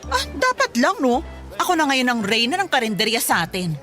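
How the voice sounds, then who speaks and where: Swooping high-pitched haughty young woman. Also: wildly swooping pitch, a little fast, sharp tone, soft background music, full character voice. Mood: smug, mocking. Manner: taunting, theatrical. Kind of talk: Filipino radio drama acting.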